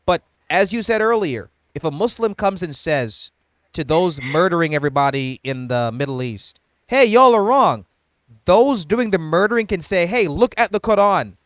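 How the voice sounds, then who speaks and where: Measured man explaining, dry close sound. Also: high-pitched, very animated, dry recording. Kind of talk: casual debate.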